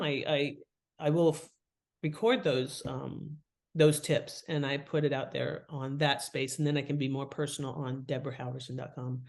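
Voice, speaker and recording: A woman talking casually and expressively as an interview guest, slipping into little acted-out asides as she explains. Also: deep voice. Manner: chatty, expressive, acts out imagined thoughts and asides. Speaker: podcast guest, fiction author and editor. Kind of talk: casual, animated interview talk.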